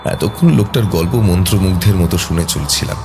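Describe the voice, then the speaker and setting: Deep-voiced man narrating horror. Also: fairly quick, music in the background. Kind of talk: scripted horror story narration.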